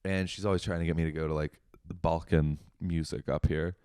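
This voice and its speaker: Laid-back halting comedian guest, man. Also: extremely low pitch, little pitch movement, mellow, clear, resonant tone, dry recording, studio quality. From Washington, D.C. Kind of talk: casual comedy podcast chat.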